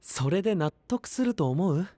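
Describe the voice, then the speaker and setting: Shrewd young knight, expressive delivery. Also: male voice, high voice, normal speed, dry recording. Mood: probing. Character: friendly, shrewd, composed young male knight. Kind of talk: Japanese anime voice drama acting.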